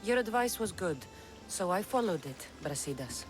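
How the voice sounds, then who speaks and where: A woman's voice: on the low side, sing-song, moderate pace, faint music behind. An actress playing a fierce, foul-mouthed woman mercenary in a game cutscene, who talks bluntly and threatens freely. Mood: dry. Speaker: mercenary hero.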